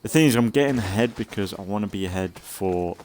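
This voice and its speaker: A man giving casual, rambling commentary as a let's play host, thinking aloud in a halting way and sounding slightly congested. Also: on the low side, darkish tone, a little hiss or hum. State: slightly congested from an oncoming cold.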